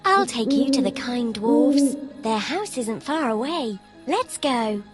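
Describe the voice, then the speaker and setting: A woman's voice: on the high side, lively intonation, slow. A woman voicing a small, friendly, helpful forest animal in a children's fairy tale. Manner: friendly, eager to help. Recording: loud music behind the voice. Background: music.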